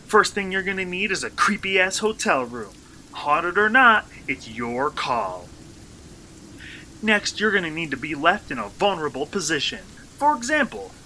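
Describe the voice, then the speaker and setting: A man's voice: high voice, bright, forceful tone, a little hiss or hum, heavily acted, low-quality recording. An actor playing a brash, uneasy young male vlogger in a radio play, talking in a slangy, sarcastic, theatrical way. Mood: manic, mock-cheery. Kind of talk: radio play acting, first-person monologue.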